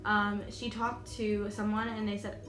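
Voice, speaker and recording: A woman's voice: mid-range voice, little pitch movement, measured pace. A twenty-year-old American woman telling a storytime vlog, chatty, full of "like" and "um", laughing nervously. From United States. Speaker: vlogger. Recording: echoey room with music behind.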